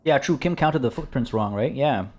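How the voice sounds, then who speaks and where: A man streaming a video game, chatting casually with viewers in a rambling way, and slightly hoarse, as if losing his voice. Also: mid-range voice, normal speed, faint music behind. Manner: rambling and chatty, frequent restarts. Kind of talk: casual livestream commentary.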